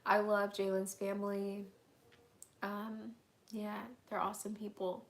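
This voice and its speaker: A young woman, hoarse and getting over an illness, chatting candidly through a live Q&A at home, answering viewer comments with lots of "um"s and "like"s. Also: mid-range voice, completely flat delivery, measured pace, a little hiss or hum. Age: young adult. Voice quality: raspy. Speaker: vlogger hosting a live Q&A. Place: at home, indoors.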